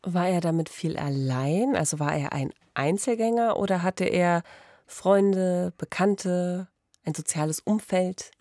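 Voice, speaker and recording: A woman in her thirties presents true crime in a conversational podcast style. Her voice sits on the low side with clear vocal fry, recorded dry in a small studio. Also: unhurried, mellow, dry recording. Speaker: podcast host. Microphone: studio microphone with pop filter, headphones.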